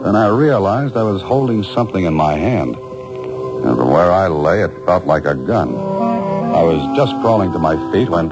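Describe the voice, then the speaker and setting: A man's voice: low voice, very animated, a little slow, rough recording. An actor playing a dry, wisecracking adventurer who narrates his own story in an old radio drama. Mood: narrating. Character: wry hard-boiled male adventurer, narrator. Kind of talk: 1940s radio acting. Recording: old radio broadcast with music underneath.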